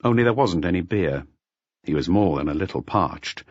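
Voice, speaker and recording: Male storyteller for crime audiobook: lowish husky voice, expressive range, dry but slightly muffled recording. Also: normal speed, dry recording. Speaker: audiobook narrator. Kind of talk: scripted narration of a detective novel.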